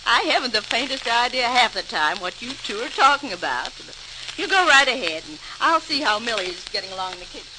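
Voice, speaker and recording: A woman's voice: a little high, expressive, brisk, natural voice. An actress playing a cheerful, homely middle-aged mother in old-time radio drama, curious and a little puzzled. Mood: amused. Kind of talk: 1940s radio drama acting. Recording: noisy, crackling old radio transcription.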